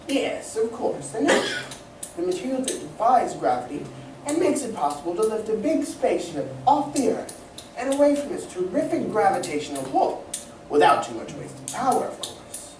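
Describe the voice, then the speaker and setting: A woman playing a proud, excitable, learned inventor in old radio serial style, speaking in a theatrical, professorial way. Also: mid-range voice, normal speed, natural voice. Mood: proud, explaining. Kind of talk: 1940s radio serial acting. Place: community playhouse stage reading. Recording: distant mic, echoey room, background noise.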